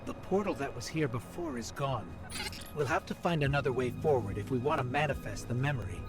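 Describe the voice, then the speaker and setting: A man's voice: mid-range voice, expressive, brisk, natural voice. A man voicing an earnest robot companion. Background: music.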